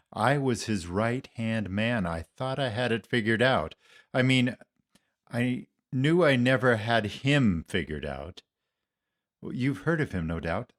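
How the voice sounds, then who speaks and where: Guy acting as a remorseful disciple doing a dramatic monologue, kinda deep male voice, really slow and deliberate, confiding in you. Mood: wry, brooding. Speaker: congregation member performing a Lenten monologue.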